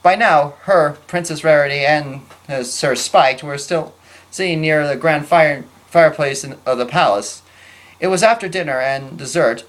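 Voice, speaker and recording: A narrator reading a story aloud in a halting, stumbling way. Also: medium pitch, low-quality recording. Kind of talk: amateur fan fiction read aloud, scripted narration.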